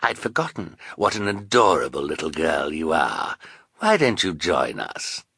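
A man's voice: a bass voice, very animated, unhurried, vocal fry, bright, forceful tone, slightly rough, no room sound, studio quality, heavily acted. A man voicing a gloating, scheming male villain in an audiobook story. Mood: sly, scheming.

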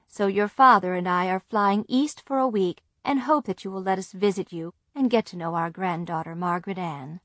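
A woman reading the letter of an older grandmother, slow and prim in manner. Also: medium pitch, a bit bright, no room sound, studio quality, close to an everyday voice. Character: older grandmother writing a stiff, formal letter.

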